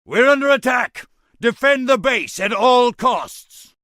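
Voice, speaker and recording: Casting: brash barking commander, video game, raised pitch, sharp and forceful. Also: male voice, expressive, takes it slowly, no room sound, studio quality, full character voice. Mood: urgent, shouting. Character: brash, domineering human army commander. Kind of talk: dramatic video game acting.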